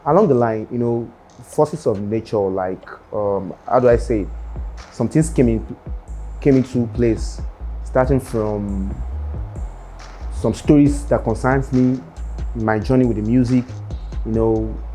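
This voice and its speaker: A young Nigerian man talking about his rap career in an interview, unhurried and full of fillers and restarts. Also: medium pitch, mellow, faint music behind. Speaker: rapper interviewee. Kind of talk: casual interview monologue.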